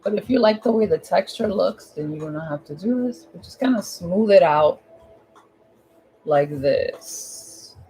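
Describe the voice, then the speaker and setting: A woman with a hoarse, husky voice giving a casual, chatty step-by-step painting tutorial at home, repeating her instructions in informal language. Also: on the low side, lively intonation, measured pace, warm tone, slight background noise, low-quality recording.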